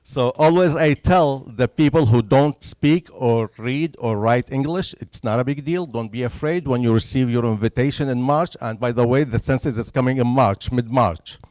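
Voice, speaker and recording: A man's voice: medium pitch, normal speed, few pauses, darkish tone, no room sound. A man from Syria with a Syrian Arabic accent, a native Arabic speaker, giving an earnest public information presentation at a senior center and checking in with his listeners after each point. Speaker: census presenter. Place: senior center meeting room, indoors.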